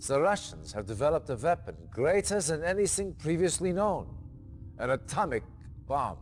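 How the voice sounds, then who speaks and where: An actor playing a solemn senior male officer delivering a mission briefing in a game cutscene, with dramatic pauses. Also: on the high side, big pitch swings, soft background music. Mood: grave. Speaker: military commander. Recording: long echoing reverb.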